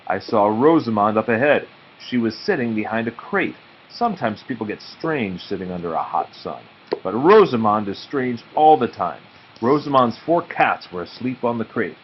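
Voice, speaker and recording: Male storybook reader, lively pitch movement, slightly dull home sound. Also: normal speed. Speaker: read-aloud storyteller. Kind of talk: children's book read aloud to a small child. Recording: dull muffled home recording, faint noise.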